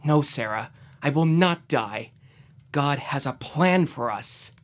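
A man's voice: fairly high pitch, animated, slow. A man playing an earnest, faithful young suitor of biblical times in an audio drama. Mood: resolute. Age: young adult. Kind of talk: full-cast audio drama acting.

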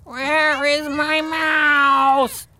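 Vexed tomcat, storybook animal, suspicious, very high pitch, long drawn-out meowing delivery. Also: male voice, little pitch movement, pushed and bright, dry recording, exaggerated voice. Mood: hungry, demanding. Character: vexed, suspicious male cat who hates snow.

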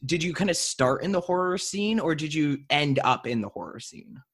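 A man's voice: fairly high pitch, expressive, moderate pace, fairly bright tone, slightly rough. A man hosting a podcast in casual conversation, with an informal, playful way of talking. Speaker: podcast host.

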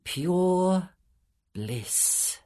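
A man voicing a patient, weary male angel, speaking in a calm, measured, softly solemn way with a husky voice. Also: medium pitch, exaggerated voice.

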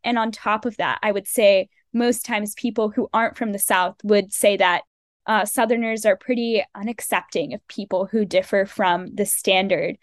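A young woman who grew up in the American South as an immigrant, hosting a student podcast interview with earnest, measured questions. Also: medium pitch, fairly even intonation, dry recording, studio quality. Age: young adult.

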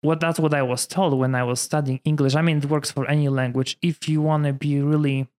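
A man in his thirties from Poland, a priest speaking English with a Polish accent in a relaxed conversation, full of "you know" fillers. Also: a little high, a little fast. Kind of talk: casual conversation.

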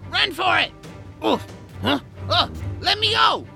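A man playing an anxious, complaining troll in an audio drama, with a gravelly, fretful voice. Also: very high voice, lively intonation, a little slow, bright, forceful tone, exaggerated voice. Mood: panicked. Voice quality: gravelly, creaky. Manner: fretful, grumbling. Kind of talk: audio drama character acting. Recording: music behind the voice.

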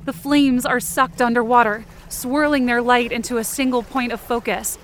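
High pitched lady doing dramatic story narration, really intense, short lines, watery noise behind her. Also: fairly even intonation, moderate pace, a bit bright. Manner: intense, theatrical, short clipped sentences. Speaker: writer and performer of fiction podcast. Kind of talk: dramatic first-person scripted narration.

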